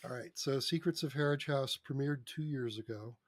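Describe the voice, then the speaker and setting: A man with a husky voice telling stories casually as an interview guest. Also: medium pitch, fairly quick, no echo. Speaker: interview guest, radio drama producer.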